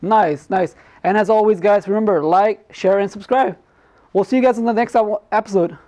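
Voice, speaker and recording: A man's voice: sits high, normal speed, warm tone. An American man hosting an unscripted talk show in a casual, informal way, often repeating himself.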